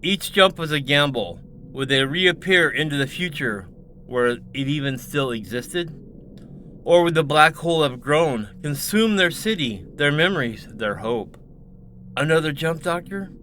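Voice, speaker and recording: Bright, forceful male sci-fi narrator. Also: a little high, expressive, measured pace, slight background noise. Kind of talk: dramatic scripted science fiction narration.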